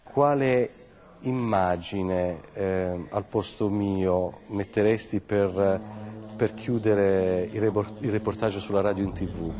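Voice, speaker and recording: A man with a deep voice works as an interviewer, asking halting, conversational questions. A crowd is clearly heard behind him. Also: fairly even intonation.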